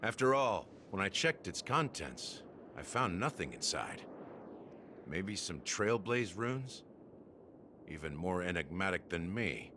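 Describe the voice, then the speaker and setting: A man voicing a cynical, weary, rough-edged security officer in a video game, with a sardonic, theatrical delivery. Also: low-pitched, sing-song, takes it slowly, noisy background, exaggerated voice. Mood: musing.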